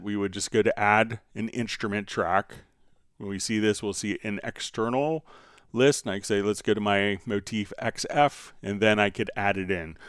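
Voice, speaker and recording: A man hosting a software tutorial livestream, explaining step by step in an informal, halting way as he thinks aloud. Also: on the low side, clean tone, dry recording, studio quality. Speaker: host answering viewer questions on a software livestream.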